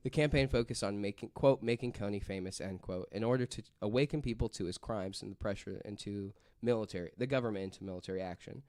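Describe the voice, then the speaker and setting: A man's voice: fairly low pitch, fast talker, few pauses, a little mellow, no echo. A man presenting a story on a casual podcast, reading from notes and rambling through asides peppered with "like".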